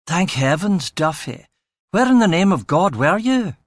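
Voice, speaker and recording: Man acting young male chief inspector, Edinburgh Scottish accent, anxious, soft, prissy, higher-pitched, audiobook character. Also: sing-song, a little slow, no echo, clean studio sound, full character voice. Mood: flustered, scolding. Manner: anxious, flustered, hesitant.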